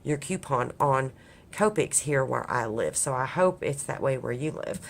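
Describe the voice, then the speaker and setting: A woman from Kentucky chatting casually in a crafting vlog, hoarse and raspy from a cold, rambling with many ums. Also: very deep voice, animated, normal speed, a little hiss or hum. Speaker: craft vlogger. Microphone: overhead camera on a craft table.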